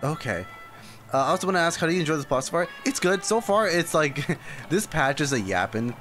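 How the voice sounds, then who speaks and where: A man's voice: on the high side, expressive, brisk, a bit bright. A young man doing casual, reactive live commentary as a game streamer, often repeating himself as he muses. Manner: reactive, repetitive exclamations and musing. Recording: faint game music behind.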